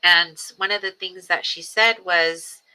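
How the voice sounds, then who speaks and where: A woman in her forties talks in a casual, reflective livestream, circling back on herself with ums and small restarts. Her voice sits a little low but has a very bright, edgy sheen. She stays earnest and steady even when she speaks of grief. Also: measured pace, clean tone, low-quality recording. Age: 40s. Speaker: livestream host.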